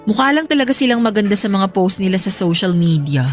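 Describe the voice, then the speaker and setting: A woman's voice: mid-range voice, animated, fast, natural voice. A woman playing a practical, contented girlfriend in an audio love drama, with a conversational, reasoning manner. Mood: fond, chatty. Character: contented adult girlfriend, practical, unconvinced about marriage. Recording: music bed behind the voice.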